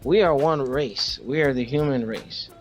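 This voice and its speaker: A man's voice: mid-range voice, normal speed, faint music behind. A man co-hosting a podcast, telling personal stories casually, stopping and repeating words as he makes his point. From Haiti. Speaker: podcast co-host.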